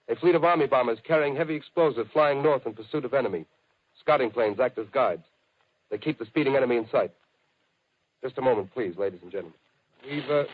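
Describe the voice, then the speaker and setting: Actor as rapid-fire 1930s radio newsman, tinny. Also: mid-range voice, slight background noise, close to an everyday voice. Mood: hurried, formal. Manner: formal, clipped, stumbles over urgent bulletins. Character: urgent male radio news announcer reading war bulletins. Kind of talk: 1930s radio drama news bulletin. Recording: tinny, band-limited 1930s radio broadcast.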